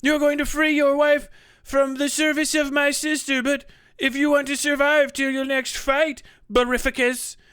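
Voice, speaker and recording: Man putting on a high, haughty Roman noblewoman. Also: little pitch movement, moderate pace, a bit bright, no echo, studio quality. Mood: imperious, seductive. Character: haughty Roman noblewoman commanding an enslaved gladiator. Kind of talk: comic skit impression.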